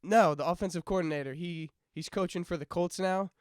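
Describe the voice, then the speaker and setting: A man's voice: sits high, brisk, clear, resonant tone, no room sound, clean studio sound. A young man co-hosting a podcast in casual chat, congested with a runny nose, rambling and laughing often. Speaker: podcast co-host.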